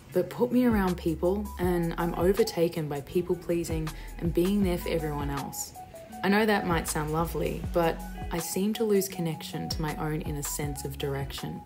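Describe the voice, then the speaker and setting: Low-voiced woman, earnest voice-over. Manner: earnest, introspective. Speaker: vlogger. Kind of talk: reflective scripted voice-over. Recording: voice-over with loud music.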